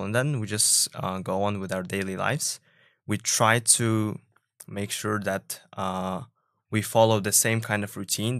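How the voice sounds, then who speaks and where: A young man from Uzbekistan, a student guest, talking in a thoughtful, measured way with frequent pauses and fillers. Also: on the low side, gravelly, fairly bright tone, no echo, clean studio sound. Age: young adult. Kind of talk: casual discussion.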